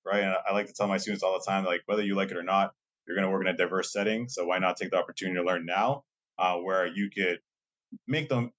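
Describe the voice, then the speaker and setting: A man's voice: low voice, a bit bright, poor audio. A young man speaking as a guest in a casual interview, loose and talkative, often tagging his sentences with a questioning "right?" and using many fillers. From Lowell, Massachusetts, USA. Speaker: guest, assistant dean.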